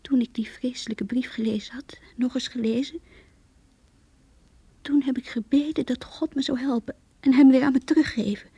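A lovesick young woman speaks with a breathy, somewhat high voice in a very dry, close sound. She is earnest and intimate, as if confiding a diary in a radio drama. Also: fairly even intonation, moderate pace, mellow, no echo, exaggerated voice. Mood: hurt, sorrowful. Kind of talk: Dutch radio play, diary monologue and dialogue.

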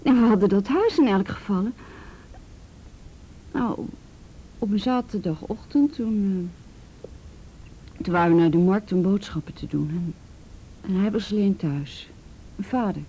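A woman playing an ashamed, abused teenage girl in a radio drama, halting and repeating herself, often sinking to a breathy whisper. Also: mid-range voice, mellow, slight background noise, lightly acted, poor audio. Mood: halting, subdued. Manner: halting, repeats words, tag questions. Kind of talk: naturalistic radio drama acting.

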